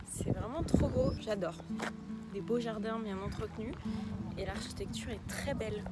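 A woman of about thirty talks in an informal travel vlog style. Her voice sits at an average pitch and her delivery is full of enthusiasm. Music plays clearly behind her. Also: moderate pace. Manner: enthusiastic, effusive. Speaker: travel vlogger and dog trainer. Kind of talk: casual travel vlog chat.

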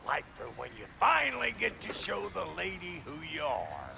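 A man's voice: high voice, wildly swooping pitch, moderate pace, creaky, background noise, full character voice. A man playing a brash, crude, folksy dwarf blacksmith with a drawl in a video game. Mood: reflective, folksy.

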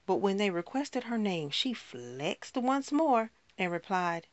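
A woman performing a spoken word poem in a rhythmic, rhyming delivery with dramatic pauses. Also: mid-range voice, sing-song, dry recording, muffled recording.

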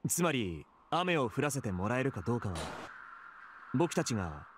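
Casting: actor as earnest young village man, quick and animated, mid-pitched, visual novel dialogue with faint noise. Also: lightly acted. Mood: earnest, thoughtful. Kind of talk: Japanese visual novel voice acting.

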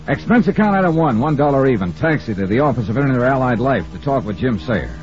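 Muffled old radio recording, actor playing American male investigator, animated swings in pitch, wry crisp storytelling. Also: soft background music, close to an everyday voice. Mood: wry, confident. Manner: wry, brisk, crisply emphatic. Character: wry, self-assured male freelance insurance investigator. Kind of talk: 1950s radio drama dialogue and first-person narration.

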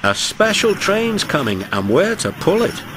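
A man voicing a brisk, scolding male engine driver in a children's storybook. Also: sits high, very animated, very noisy, pitched above the performer's own voice.

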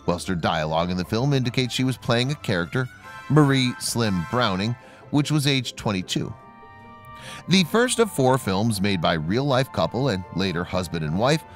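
A man reading scripted trivia narration in a measured, formal manner. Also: fairly low pitch.

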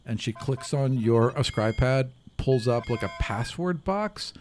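Slow-paced man narrating a game. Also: mid-range voice, expressive, a little mellow, slight background noise. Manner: halting, many pauses mid-sentence. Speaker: game master. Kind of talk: improvised tabletop game narration. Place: small room, around a table. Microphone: individual table microphone, close.

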